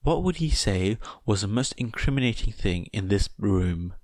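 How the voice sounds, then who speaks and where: A man's voice: fairly low pitch, animated, normal speed, a little mellow, no room sound, clean studio sound, lightly acted. A man voicing a confident woman teacher, firm and teasing, in an audiobook.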